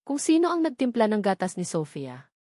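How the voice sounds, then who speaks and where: A woman's voice: mid-range voice, big pitch swings, moderate pace, mellow, no echo, studio quality. A woman with a Filipino accent reading a scripted, dramatic romance story as its narrator.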